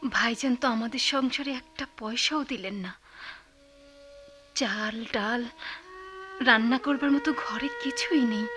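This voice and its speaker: A woman's voice: on the high side, measured pace, pauses often, a bit bright, soft background music. An actress playing a worried, helpless middle-aged widow and mother in a radio drama, plaintive and sorrowful. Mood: despairing.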